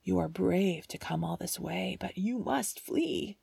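A woman voicing a frightened, sorrowful old woman held prisoner, speaking in a slow, hissing whisper. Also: on the low side, creaky, mellow, slightly rough, no echo, full character voice. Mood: grave warning.

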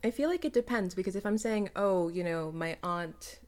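A woman's voice: medium pitch, expressive, brisk, warm tone. A woman chatting casually as a panelist in a group question-and-answer conversation. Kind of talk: casual chat.

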